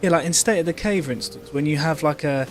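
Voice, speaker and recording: A man's voice: a little high, fast talker, faint music behind. A man co-hosting a casual gaming livestream, thinking aloud in a rambling, sweary way. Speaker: game streamer co-host.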